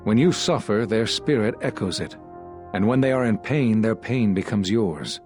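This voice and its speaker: An actor playing a solemn, regretful Jedi master in a video game, speaking in a measured, sombre and earnest way. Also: male voice, on the low side, animated, a little mellow, faint music behind, close to an everyday voice. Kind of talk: dramatic video game dialogue.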